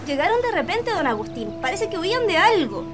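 A jumpy young girl in a radio play, really high voice, music behind. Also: very animated, a little fast. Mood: shaken. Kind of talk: radio drama acting.

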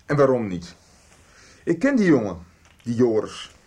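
Embittered, defensive teacher, radio drama, animated pitch range. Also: male voice, unhurried. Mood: agitated, angry. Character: embittered, defensive schoolteacher arguing with his brother. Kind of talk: Dutch radio soap acting.

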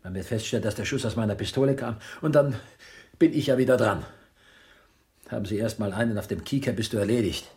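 Deep husky man, rough and breathy, weary anxious accomplice, arrogant, naturalistic radio play. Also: fast, a little mellow. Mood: agitated, sarcastic. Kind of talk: naturalistic radio drama dialogue.

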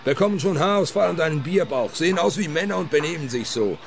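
A man's voice: fairly high pitch, lively intonation, fast talker. An actor playing a bitter male trucker who grumbles and mutters curses in a radio play. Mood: bitter, grumbling. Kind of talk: German-language radio play acting. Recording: clear outdoor urban background noise. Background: urban ambience noise.

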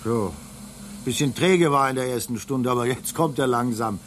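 A man's voice: mid-range voice, normal speed, fairly bright tone, slight background noise, lightly acted. An actor playing a jaded male space station engineer in a radio play, casual, offhand and mildly condescending. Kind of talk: German radio play acting.

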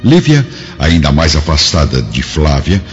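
Casting: male narrator, very low voice with sweeping intonation, Brazilian accent, solemn radio-drama storytelling. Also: sing-song, measured pace. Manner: solemn, formal. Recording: dull lo-fi radio drama, music behind.

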